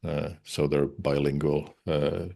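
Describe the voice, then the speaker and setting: A man's voice: a bass voice, little pitch movement, takes it slowly, vocal fry, dark tone. A man whose first language is Finnish, speaking English in a casual interview, hesitant, with many uhs and restarts. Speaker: interviewed musician.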